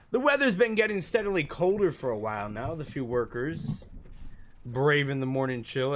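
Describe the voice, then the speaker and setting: A man's voice: mid-range voice, fairly bright tone. A man doing let's play commentary and reading game text aloud, sometimes stumbling over the words. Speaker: gaming YouTuber. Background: background music.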